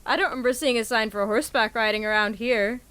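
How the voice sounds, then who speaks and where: A higher-pitched, chatty woman's voice from an actress playing an anxious searcher in an audio drama. Faint music runs beneath her lines. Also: fast talker, a bit bright, close to an everyday voice. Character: nervous woman on a search team.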